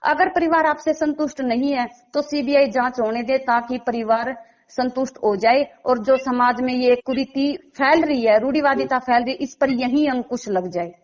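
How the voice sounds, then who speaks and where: A woman's voice: high-pitched, normal speed. A woman speaks. She is emphatic and insistent, pressing her points as an interviewee making an appeal. The recording is dull and lo-fi. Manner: emphatic, insistent, piling up rhetorical questions. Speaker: interviewee commenting on a local case. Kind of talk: spoken interview answers, appeal to authorities.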